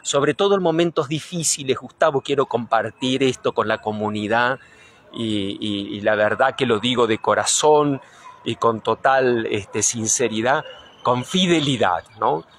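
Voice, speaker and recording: A man's voice: medium pitch, measured pace, fairly bright tone, slight background noise. A middle-aged Argentine man, a priest being interviewed, speaking reflectively and earnestly, often searching for his words. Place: outdoors in front of a church. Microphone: handheld reporter microphone.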